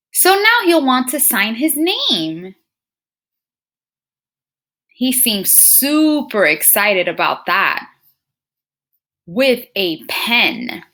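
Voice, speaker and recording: A woman with a fairly high, very animated voice reads a storybook aloud as a teacher would to small children. She takes her time, musing aloud and putting questions directly to her young listeners. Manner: expressive, addresses children directly with frequent questions and musing hums. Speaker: teacher reading a picture book aloud to young pupils.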